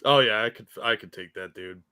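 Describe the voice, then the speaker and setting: Bright-voiced man, quick joking asides. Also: on the low side, clean tone, no echo, studio quality. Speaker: co-host. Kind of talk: casual livestream chat.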